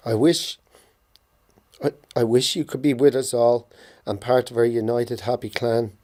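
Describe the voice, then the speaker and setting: A man in his forties with a Dublin accent reads a prepared poetic eulogy to his sister, solemn and halting in places. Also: medium pitch, fairly even intonation, darkish tone. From Ireland. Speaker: bereaved brother giving a tribute.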